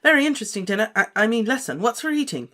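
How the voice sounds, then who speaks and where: A rattled teenage schoolboy, as a male narrator puts him on, babbling in a strained, much higher voice. He trips over his words and stammers. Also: big pitch swings, very fast, pushed and bright, full character voice. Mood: jittery, forced. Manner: high-pitched, gabbling, stammering. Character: nervous teenage schoolboy, shaken, gabbling.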